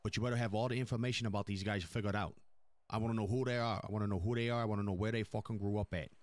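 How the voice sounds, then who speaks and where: Man acting as a fast-talking, stern mafia boss. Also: lowish voice, fairly even intonation, no echo, close to an everyday voice. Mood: stern, commanding. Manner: emphatic, repetitive, probing questioning. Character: demanding male mafia boss, stern and foul-mouthed. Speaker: role-play gamer playing a crime boss. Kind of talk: improvised crime role-play dialogue.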